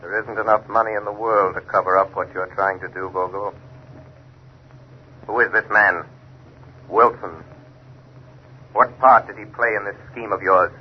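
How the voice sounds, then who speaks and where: An actor playing a mysterious invisible avenger, calm, deliberate and taunting, in a 1930s radio mystery. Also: male voice, on the low side, husky. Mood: calm, mocking. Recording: dull 1930s radio recording.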